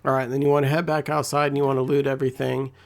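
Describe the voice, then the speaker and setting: A man with a scratchy, gravelly voice giving laid-back, rambling commentary as a gameplay guide. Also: medium pitch, little pitch movement, very fast. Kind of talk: casual walkthrough commentary.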